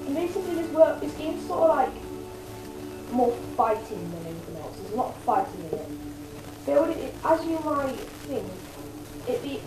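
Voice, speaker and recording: A young woman giving casual, rambling commentary as she plays a video game at home. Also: fairly high pitch. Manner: rambling, filler-heavy chatter. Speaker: let's play host. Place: home room, filmed from a TV screen. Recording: echoey room with game music.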